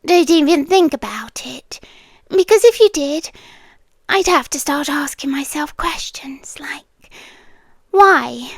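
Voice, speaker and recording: A woman's voice: high voice, dry recording, exaggerated voice. A British woman playing a possessive, sweetly menacing landlady girlfriend in an intimate ASMR roleplay, coaxing and controlling, often dropping into whispers. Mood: tender, possessive, then veiled threat.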